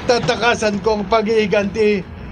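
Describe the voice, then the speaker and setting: An actor playing a frantic, defiant killer who is desperate to escape ghosts in a horror radio drama. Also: male voice, high voice, level tone, moderate pace, background noise, lightly acted. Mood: desperate defiance. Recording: music under the voice.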